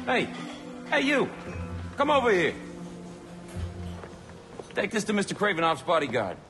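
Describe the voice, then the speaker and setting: An impatient, scornful game henchman. The voice is high for a man and leaps wildly as he throws his weight around, with music clearly behind him. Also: huge pitch swings, unhurried, full character voice. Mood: barking. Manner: barking, impatient. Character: bossy, scornful henchman of a crime lord. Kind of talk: video game cutscene acting.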